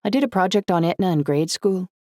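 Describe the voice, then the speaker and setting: A woman narrator puts on a small young woman in a story. Her voice sits higher. She sounds keen and captivated by old tales, in a dry, close recording. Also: quick pace, darkish tone, no room sound, close to an everyday voice. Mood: eager. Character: small young Irish woman, eager history enthusiast, mesmerizing lilt.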